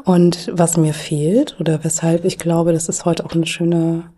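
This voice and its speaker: A middle-aged woman speaking as a podcast guest, thoughtful and hesitant with frequent pauses and fillers. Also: on the low side, flat delivery, mellow. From Germany. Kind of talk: reflective conversational interview.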